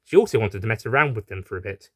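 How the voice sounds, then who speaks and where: A man's voice: fairly low pitch, expressive, very fast, fairly bright tone, dry recording, clean studio sound. A man reading a scripted adventure story as its narrator.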